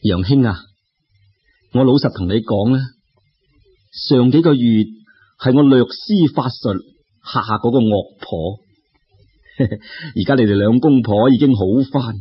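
A man voicing a sly, genial friend who knows sorcery in a Cantonese storybook tale, calm and confiding. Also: medium pitch, a little slow, long pauses, breathy edge, dull audio.

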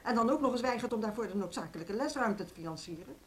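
A woman's voice: lowish voice, brisk, darkish tone, close to an everyday voice. Actress as earnest woman running a music school, under pressure, well spoken, radio play, bit of noise. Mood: indignant. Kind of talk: Dutch radio play acting.

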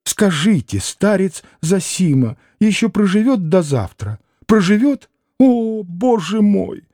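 A man voicing a fussy, excitable middle-aged noble mother in a classic novel audiobook, gushing with theatrical exclamations. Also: high voice, slow pace, dry recording, studio quality, pitched above the performer's own voice, full character voice. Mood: distraught.

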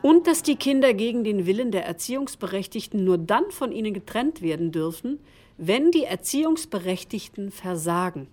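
A woman's voice: medium pitch, very animated, moderate pace, a little mellow. A woman playing a factual, precise explainer of legal text in radio drama dialogue. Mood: matter-of-fact.

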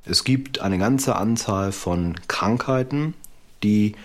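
A man speaking as an expert historian, explaining in a deliberate way. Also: lowish voice. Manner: deliberate, explanatory, builds long lists. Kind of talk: expert interview.

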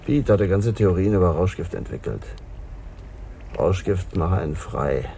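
Wounded lonely outsider, deep gravelly voice, dark tone, bitter resignation, halting confession, radio drama. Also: male voice, expressive, a little hiss or hum, exaggerated voice. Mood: subdued confession. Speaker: actor in radio crime drama. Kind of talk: 1980s German radio drama acting.

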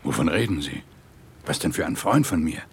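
An actor playing an exhausted, obsessed police detective, intimate and brooding, in a naturalistic radio drama. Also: male voice, rumbling low, expressive, fairly quick. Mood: alert, questioning. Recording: clear rain and ambience noise.

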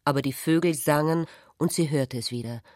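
An actress narrating a literary radio drama as a troubled, wounded woman, measured and introspective. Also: low-pitched, darkish tone, dry recording, clean studio sound, close to an everyday voice. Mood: pensive.

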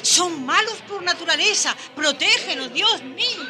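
Shrill, frightened colonial lady. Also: lively intonation, unhurried. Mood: fearful, disdainful. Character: frightened, bigoted colonial woman. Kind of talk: radio drama acting. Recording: radio drama with loud crowd.

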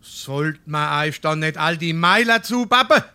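An actor playing a grumbling, scolding small-town husband in a dialect stage comedy, sarcastic, with a Darmstadt Hessian accent. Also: male voice, fairly high pitch, lively intonation, measured pace, sharp tone, smooth, full character voice. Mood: exasperated. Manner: exasperated, sarcastic.

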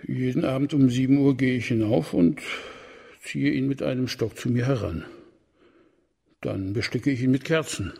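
An actor playing a deferential old house steward in a radio crime play, servile and plain-spoken, with a raspy voice. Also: male voice, mid-range voice, normal speed, dark tone. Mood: humble, explanatory.

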